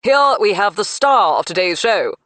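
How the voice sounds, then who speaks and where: A woman's voice: medium pitch, sing-song, moderate pace, a bit bright, no echo, voice pushed up. A woman voicing a male auctioneer with clipped, well-projected delivery. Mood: showy.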